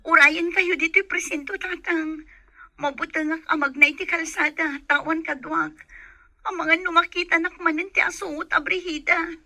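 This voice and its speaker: Frightened, high-voiced young captive woman. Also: steady tone, normal speed, bright, forceful tone, dry recording, full character voice. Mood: anxious, pleading. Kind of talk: Ilocano radio drama acting.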